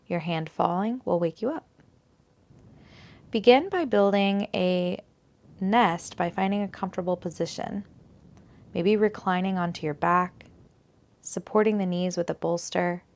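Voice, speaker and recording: A woman teaching a guided yoga nidra meditation in a soothing, unhurried, gentle manner, leaving long pauses between phrases. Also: medium pitch.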